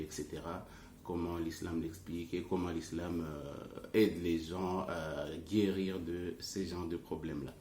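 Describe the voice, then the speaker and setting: Deep-voiced man, halting, in an echoey room. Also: a little mellow. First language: not French. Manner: halting, searching for words. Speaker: religious teacher and ruqyah healer. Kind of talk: informal explanatory talk to online followers. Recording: roomy echo, faint background noise.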